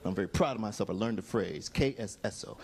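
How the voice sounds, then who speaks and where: A man's voice: fairly low pitch, fast talker, vocal fry. A man doing a stand-up comedy set on a club stage, telling laid-back stories and acting out quotes, into a handheld mic. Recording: noisy live club recording. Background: audience laughter.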